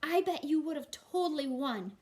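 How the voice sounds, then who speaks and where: Woman voicing an eager, high-pitched young boy. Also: animated, moderate pace, darkish tone. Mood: curious. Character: friendly, wide-eyed young boy in a storybook. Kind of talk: read-aloud children's storybook.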